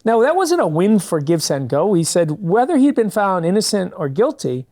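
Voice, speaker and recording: A man's voice: high voice, big pitch swings, normal speed, darkish tone. A man answering questions in a studio interview, speaking earnestly and explaining his views at length with frequent restarts. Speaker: guest, fundraising company founder. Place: public TV studio.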